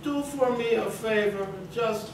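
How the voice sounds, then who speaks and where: An exasperated comic wife with a Yiddish accent. She kvetches in a slow sing-song, far from the mic in a noisy, echoing theater. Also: fairly high pitch. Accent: Yiddish, put on. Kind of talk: broad comic stage acting. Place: small theater, live staged reading.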